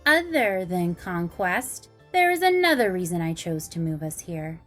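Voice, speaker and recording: Haughty vampire mistress, acted by a woman, slow and imperious. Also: lowish voice, big pitch swings. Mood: haughty, commanding. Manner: haughty, commanding. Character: imperious vampire mistress bent on world conquest. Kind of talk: audio drama acting. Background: music.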